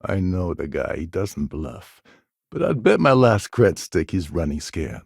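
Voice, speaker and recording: An actor playing a weary, wry older space pirate posing as a ship captain in a video game, gravelly and husky, with a sardonic, conspiratorial manner. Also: male voice, sits low, very animated, measured pace, darkish tone, dry recording, clean studio sound, exaggerated voice. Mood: wry, guarded. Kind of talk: video game character dialogue.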